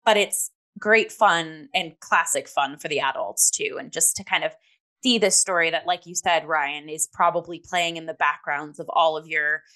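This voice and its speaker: Young female talk host. Lowish, forward, bright tone; chatty, enthusiastic and emphatic review style. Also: moderate pace. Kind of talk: casual review chat.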